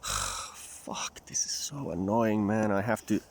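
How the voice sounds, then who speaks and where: Male vlogger from Finland, Southern-tinged accent, lowish pitch, very unhurried, folksy and loose with swearing. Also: huge pitch swings, vocal fry. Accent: Southern US-tinged English. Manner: profane, folksy, rambling. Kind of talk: casual rambling monologue.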